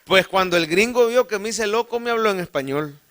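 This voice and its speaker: A man's voice: high voice, expressive, a little fast, a bit bright, slight background noise. A man with a Salvadoran accent preaching in church, telling a folksy, humorous personal story and calling his listeners brother. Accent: Salvadoran Spanish. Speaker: pastor. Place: church sanctuary.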